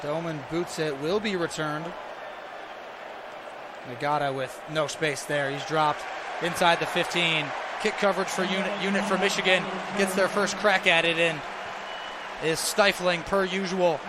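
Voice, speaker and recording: A man's voice: a little high, steady tone, unhurried. An American man doing live play-by-play radio commentary on a football game, describing the action in clipped phrases as it unfolds. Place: stadium broadcast position. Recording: noisy stadium broadcast with crowd roar.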